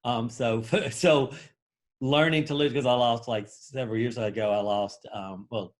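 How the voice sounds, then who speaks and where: A man's voice: medium pitch, steady tone, measured pace. A middle-aged man from Memphis with a Southern accent, chatting as an interview guest in a friendly, self-deprecating way. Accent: Southern American. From Memphis, Tennessee. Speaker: guest, HR executive. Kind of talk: casual interview talk.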